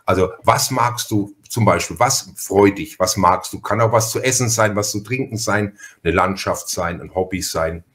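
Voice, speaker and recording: A man with a fairly low voice and a Franconian accent hosts a relaxed podcast interview. He talks in a loose, rambling way, often repeating the start of a phrase. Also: normal speed, hardly any pauses. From Franconia, Germany. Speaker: podcast host. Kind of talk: casual conversational interview.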